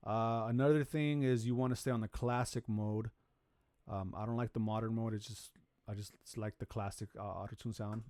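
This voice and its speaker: A man hosting a music production tutorial from a home studio, talking through his steps in a relaxed, rambling way with lots of fillers and restarts. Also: normal speed, dry recording. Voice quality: deep voice. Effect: auto-tune pitch correction on some lines. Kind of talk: casual screen-recorded tutorial. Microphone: studio vocal microphone.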